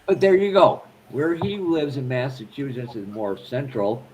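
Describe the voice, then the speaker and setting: Man, middle pitch, quick playful storyteller, show regular, faint noise behind. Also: very animated, a little mellow, lo-fi sound. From New Hampshire. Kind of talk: casual group chat.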